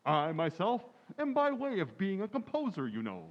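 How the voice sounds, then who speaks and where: A man's voice: high-pitched, huge pitch swings, normal speed, lo-fi sound. A man acting a polite, formal music store salesman in an old-time radio comedy. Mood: courteous, obliging. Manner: formal, deferential salesman patter. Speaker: amateur actor in a community playhouse radio recreation.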